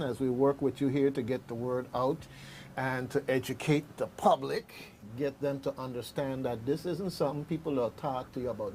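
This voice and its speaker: A man hosting a radio discussion, conversational and halting, often repeating phrases as he goes. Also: medium pitch, a little mellow, a little hiss or hum. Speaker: radio host. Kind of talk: unscripted discussion and commentary. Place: radio studio. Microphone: studio microphone.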